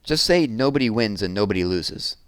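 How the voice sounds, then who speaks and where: A man's voice: on the low side, animated, fairly quick, smooth, no echo, close to an everyday voice. A prickly young boy from a storybook played by a man reader, blunt and grumpy. The voice is close and dry. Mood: tentative.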